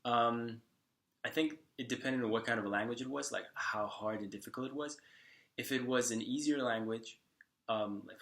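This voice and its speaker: A man in his twenties, a native Slovak speaker, answering viewer questions to camera in a halting way, with many fillers and restarts. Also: mid-range voice, fairly bright tone. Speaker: vlogger. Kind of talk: casual talk to camera.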